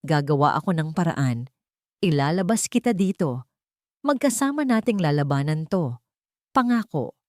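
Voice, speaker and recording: A woman's voice: deep voice, animated, normal speed, long pauses, darkish tone, no echo, clean studio sound. A woman with a Filipino accent voicing a determined, earnest young woman in a dramatic story. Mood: earnest, resolute.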